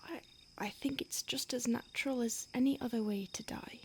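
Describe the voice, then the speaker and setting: A conflicted female vampire, voiced by a woman breathes her confession in a close, soft whisper, her tone sitting a little high. She sounds obsessive and half-apologetic, a predator barely keeping composed. Faint crickets chirp in the night air behind her. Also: fairly even intonation, normal speed, slight background noise, close to an everyday voice. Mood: grim, confiding. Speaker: audio roleplay performer. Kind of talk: whispered audio roleplay.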